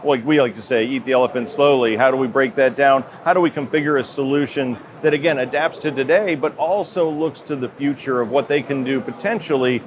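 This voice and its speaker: A middle-aged American man answering interview questions as a company executive in measured corporate talk. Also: mid-range voice. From United States. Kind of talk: trade show interview. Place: trade show floor. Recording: noisy show-floor ambience.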